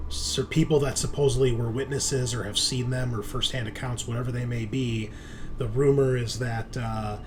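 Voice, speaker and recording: A man narrating a tabletop roleplaying game as game master, explaining the setting in a conversational way. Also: mid-range voice. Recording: clearly noisy background.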